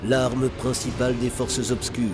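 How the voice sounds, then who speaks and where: Male trailer announcer over clear music. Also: mid-range voice, little pitch movement, unhurried. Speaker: promotional announcer.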